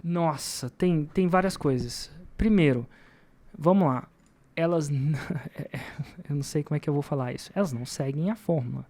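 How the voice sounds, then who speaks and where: A man's voice: on the high side, normal speed, darkish tone. A man with a Brazilian Portuguese accent hosts a podcast, talking informally and self-deprecatingly, repeating phrases for emphasis. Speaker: podcast host and marketing teacher. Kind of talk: casual podcast talk with anecdotes.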